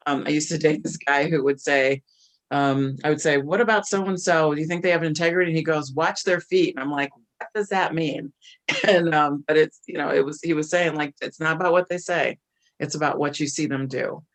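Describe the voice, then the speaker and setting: A man speaking reflectively and haltingly in a casual discussion on a video call, often posing rhetorical questions. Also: a little high, a bit bright. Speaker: discussion participant who coaches executives.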